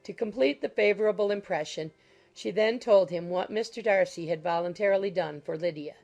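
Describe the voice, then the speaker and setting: A woman narrator reading a classic novel in a measured, literary storytelling manner. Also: medium pitch, vocal fry, lo-fi sound. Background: soft music.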